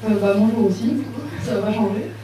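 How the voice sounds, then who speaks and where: Teenage girl, mellow voice, echoey hall. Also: medium pitch, fairly quick, far from the mic, slight background noise. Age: teens. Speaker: young panelist. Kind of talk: self-introduction.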